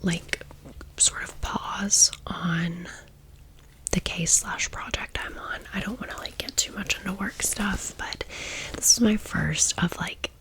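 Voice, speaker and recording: A woman's voice: fairly low pitch, fairly even intonation, takes it slowly, a bit bright, poor audio. An American woman in her thirties whispering a personal story as an ASMR host, chatty and confiding, drifting into asides.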